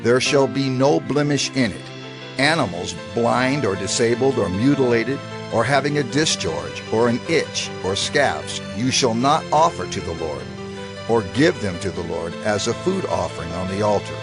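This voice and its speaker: An actor playing a solemn, instructive reciter of divine law in a formal, measured dramatized scripture reading. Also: male voice, mid-range voice, lively intonation, natural voice. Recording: music under the voice. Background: music.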